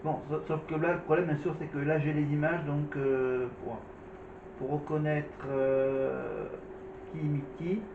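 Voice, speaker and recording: A man talks over his clips in a halting, rambling way, full of hesitations and restarts. His higher voice sounds far off in an echoey room, thin like a phone line. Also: flat delivery. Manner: hesitant, many fillers and restarts. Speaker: vlog host commenting on clips. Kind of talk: casual spoken commentary. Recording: echoey, distant, phone-like with noise.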